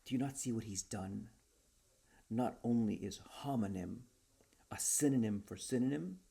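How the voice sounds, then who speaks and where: A man voicing an excitable, easily awed buyer in a story, with an earnest and dramatic manner. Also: mid-range voice, moderate pace, gravelly, slightly rough. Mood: exasperated.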